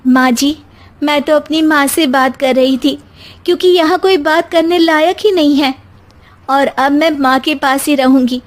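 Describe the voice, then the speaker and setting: A woman's voice: high voice, unhurried, pitched above the performer's own voice. A woman voicing an educated, outspoken young daughter-in-law in a story, with an earnest, articulate way of talking. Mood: hurt, defiant.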